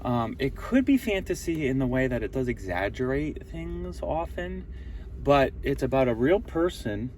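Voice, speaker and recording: A man's voice: mid-range voice, sing-song, moderate pace. A man giving an informal lesson as a teacher, explaining in a didactic way and prompting with questions and key terms. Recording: distant mic, very loud outdoor traffic-like noise.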